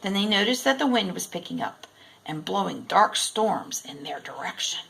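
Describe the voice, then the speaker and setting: A woman reading a children's storybook aloud in expressive, deliberate narration. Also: mid-range voice, vocal fry, poor audio. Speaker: storybook reader.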